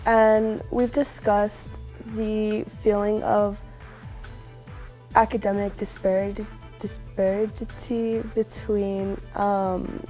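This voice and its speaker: A woman's voice: mid-range voice, level tone, slow pace, warm tone, faint music behind. A young woman from West Texas, a university student, answering interview questions earnestly and reflectively. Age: young adult. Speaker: alumna interviewee.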